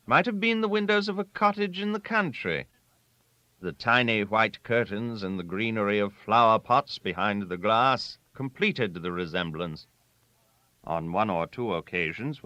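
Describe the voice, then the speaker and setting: Deep-voiced, animated man reading a story. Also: dry recording. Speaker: audiobook reader. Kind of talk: literary story narration.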